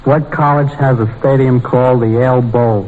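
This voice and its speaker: A man's voice: mid-range voice, fairly even intonation, normal speed. A young man from Brooklyn giving plain, short answers as a contestant on an old radio quiz. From Brooklyn, New York. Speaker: quiz contestant, army private. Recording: muffled, hissy old radio broadcast.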